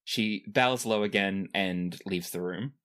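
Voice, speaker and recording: A game master improvising casual, descriptive narration for a tabletop game, pausing for effect. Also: deep voice. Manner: animated, descriptive, pauses for effect.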